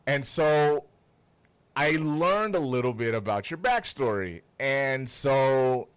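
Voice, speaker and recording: Man, podcast host, casual interview chat, smooth clean voice, dry recording. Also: medium pitch, lively intonation, takes it slowly, no room sound. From Toronto, Canada.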